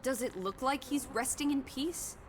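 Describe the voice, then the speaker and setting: Game companion: actress as young woman, highish voice, cheeky, inquisitive, blunt, quaintly playful; faint ambient noise behind. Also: normal speed, lightly acted. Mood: speculating, indignant. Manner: teasing, blunt, playfully old-fashioned. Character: bold, teasing, morbidly curious young female companion. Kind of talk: video game voice acting.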